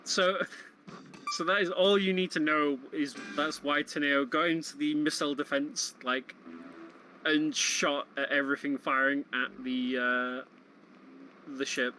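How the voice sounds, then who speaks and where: A man's voice: on the high side, steady tone, a little slow, pushed and bright, slight background noise, low-quality recording. A man giving casual commentary on a video game run. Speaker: speedrun event commentator.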